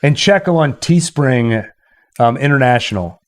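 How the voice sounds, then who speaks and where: A man's voice: medium pitch, animated, a little slow. A man hosting a livestream, chatting casually with his viewers. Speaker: livestream host.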